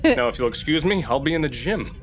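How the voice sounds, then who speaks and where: A man playing a stern starship captain in a tabletop game, speaking in formal, deliberate, commanding lines. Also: fairly high pitch, lively intonation, fairly bright tone, soft background music, voice pushed up. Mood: curt. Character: stern, threatening male starship captain giving an ultimatum. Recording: faint background noise.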